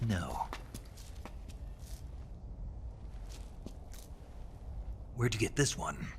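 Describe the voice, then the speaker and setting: An actor playing a gruff, stoic soldier on a covert mission in a cinematic video game, gravelly, terse and guarded. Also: male voice, lowish voice, big pitch swings, moderate pace, slight background noise. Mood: guarded, probing.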